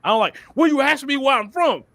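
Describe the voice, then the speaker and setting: Southern texas guy, high voice, dry close sound, ranting his opinions and repeating himself for emphasis. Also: lively intonation, brisk, creaky, fairly bright tone, dry recording. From Port Arthur, Texas. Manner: emphatic, repeats phrases, colloquial. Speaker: commentator. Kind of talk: animated opinion monologue, acting out mock dialogue.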